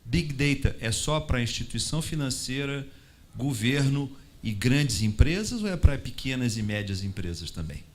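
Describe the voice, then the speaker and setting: A man's voice: mid-range voice, lively intonation, normal speed, a little hiss or hum. A man moderating a conference panel, asking long, winding, provocative questions.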